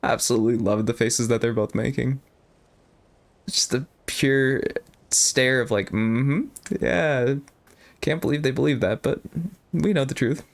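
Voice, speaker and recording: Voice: mid-range voice, unhurried, creaky. A streamer giving easygoing, casual commentary while playing a game, musing aloud.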